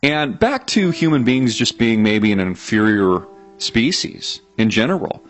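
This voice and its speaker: A man's voice: medium pitch, very animated, measured pace, soft background music. A man hosting his own video channel, musing aloud to viewers in a reflective monologue, with frequent "uh"s and restarts.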